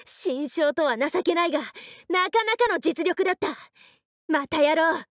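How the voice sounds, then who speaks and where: An actress playing a bold, battle-crazed young female warrior in a game, with theatrical, cocky, rough phrasing. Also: extremely high pitch, animated, slow, a bit bright, no echo, studio quality, exaggerated voice. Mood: cocky, exhilarated. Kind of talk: Japanese game battle voice lines.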